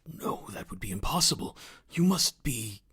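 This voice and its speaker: A man's voice: a little high, huge pitch swings, moderate pace, fairly bright tone, breathy edge, heavily acted. A man playing a stern, irritable older school headmaster in a game, curt and indignant. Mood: stern, dismissive. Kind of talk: dramatic game voice lines.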